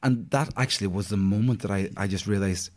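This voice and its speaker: Chatty Northern Irish man, lowish voice. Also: brisk. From County Derry, Northern Ireland. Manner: chatty, friendly, frequent you-knows. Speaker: interview guest, singer. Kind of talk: casual reminiscing interview chat.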